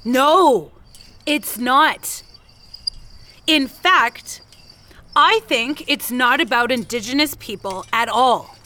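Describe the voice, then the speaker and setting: Wounded defiant woman, audio drama, sardonic, deliberate, higher-pitched, unhurried delivery. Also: bright, forceful tone, full character voice. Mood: challenging. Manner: deliberate, pointed, with weighted pauses. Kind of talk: naturalistic audio drama dialogue.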